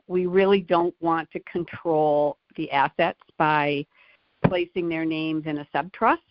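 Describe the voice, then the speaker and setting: A woman's voice: on the low side, no room sound. A middle-aged or older woman from Michigan, a caller politely asking questions in a conversational style. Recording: dull lo-fi phone call audio.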